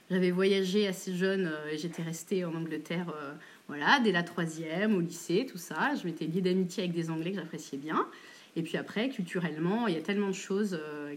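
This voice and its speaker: A woman English teacher answering casually, hesitating often with fillers. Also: fairly low pitch. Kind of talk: casual spoken interview answer.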